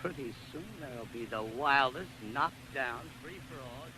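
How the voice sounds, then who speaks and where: A man's voice: medium pitch, measured pace, lightly acted. An actor playing a brash, scheming carnival showman in 1940s radio style, mocking and sly. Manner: sly, taunting, showy. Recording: noisy 1940s radio broadcast recording.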